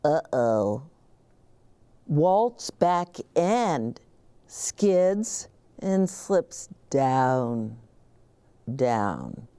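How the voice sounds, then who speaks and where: A woman reading a children's story aloud in an expressive, dramatic way, talking directly to young listeners. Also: extremely low pitch, very slow, pauses often, clean tone, dry recording. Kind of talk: expressive picture-book read-aloud.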